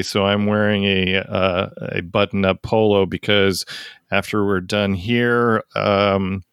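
A middle-aged man hosting a music podcast, joking his way through relaxed introductions. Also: on the low side, clean tone, no room sound, studio quality. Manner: jokey, easygoing introductions. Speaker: podcast host. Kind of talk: casual podcast chat.

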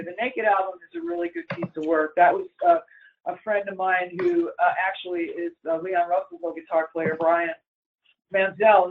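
Rambling, blunt male musician, high-pitched, heard over a tinny phone line. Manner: rambling and blunt, swears freely. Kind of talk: casual interview over the phone.

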